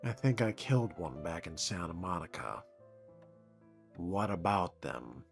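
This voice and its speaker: Casting: man voicing cool vampire fledgling in a game; deep, creaky, deadpan, faint music underneath. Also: unhurried, exaggerated voice. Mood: curt, questioning. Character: cool, dry young male vampire fledgling. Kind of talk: role-played video game dialogue.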